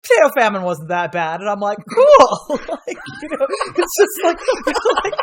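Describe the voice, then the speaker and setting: A woman's voice: on the high side, wildly swooping pitch, normal speed, over a noisy crowd. A woman guest on a casual podcast, a history lecturer who rambles through stories with constant fillers, frequent laughs and casual swearing.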